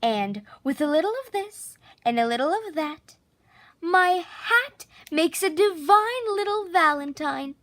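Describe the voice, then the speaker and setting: A woman with a high voice reads a children's storybook aloud in a very expressive way. Her pitch swings widely and she takes her time, stretching key words theatrically. The sound is close and dry. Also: a little slow, no echo. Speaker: picture book narrator.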